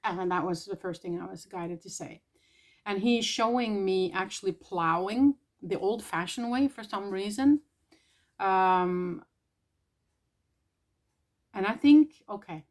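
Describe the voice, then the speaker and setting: A woman from Norway, channeling spiritual messages in a casual vlog. She speaks softly and tentatively, pausing often, with an irritated throat she keeps clearing. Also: mid-range voice.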